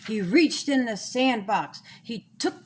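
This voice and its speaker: Female storyteller, very slow pace, children's rhyming tale, singsong delivery. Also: on the high side, expressive, slight background noise. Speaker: author reading own children's story.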